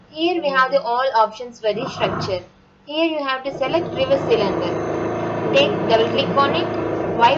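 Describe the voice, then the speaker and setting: A woman with an Indian accent giving an unscripted step-by-step software tutorial, instructing and answering her own questions as she goes. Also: fairly high pitch. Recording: dull lo-fi audio, loud background noise.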